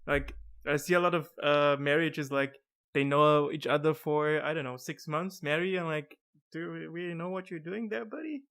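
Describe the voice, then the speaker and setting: Give me a monotone, laughing host. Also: male voice, on the high side, normal speed. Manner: monotone, laughs often, many restarts. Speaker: podcast host. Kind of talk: casual video-call chat.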